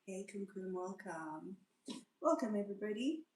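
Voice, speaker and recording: A woman's voice: medium pitch, lively intonation, moderate pace, a little mellow, reverberant, slight background noise. A woman hosting a casual livestream, greeting viewers by name and humming little sing-song tunes between remarks. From South Africa. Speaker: livestream host.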